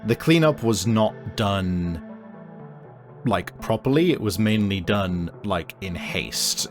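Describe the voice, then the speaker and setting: A man improvising narration as game master of a tabletop game, in a halting manner with frequent searching pauses. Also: fairly low pitch.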